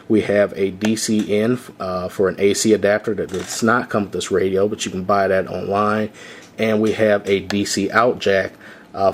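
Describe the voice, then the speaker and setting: A man's voice: low-pitched, a little hiss or hum. A man casually walking through a product's features, talking off the cuff with lots of "uh" fillers. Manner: unscripted, many "uh" fillers. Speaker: gadget reviewer.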